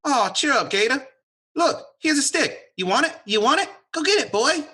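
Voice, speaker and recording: A woman's voice: high-pitched, sing-song, moderate pace, bright, forceful tone, heavily acted. A woman playing an eager, pleading young country boy with a Southern US accent in an audio drama. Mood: coaxing, sad. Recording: noisy, with clicks.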